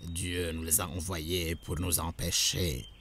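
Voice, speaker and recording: A man playing a mocking, shameless wicked townsman in an animated religious story, with a sneering, chuckling delivery. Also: deep voice, slow, slight background noise, exaggerated voice. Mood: mocking amusement.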